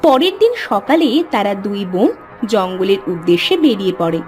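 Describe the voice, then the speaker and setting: A woman's voice: mid-range voice, sing-song, moderate pace, a little mellow, soft background music. A woman reading scripted narration for a cartoon story.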